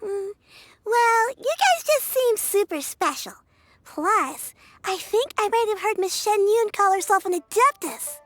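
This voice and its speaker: A woman's voice: very high voice, animated, measured pace, a bit bright, full character voice. An actress voicing a sweet, earnest young girl with a cheerful, chatty delivery in a game. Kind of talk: game voice acting.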